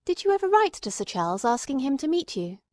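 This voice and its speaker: A woman voicing a persistent gentleman investigator in an audiobook, questioning formally, courteously and insistently. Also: sits high, sing-song, fast talker, no room sound, voice pushed up. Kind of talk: dramatic audiobook character reading.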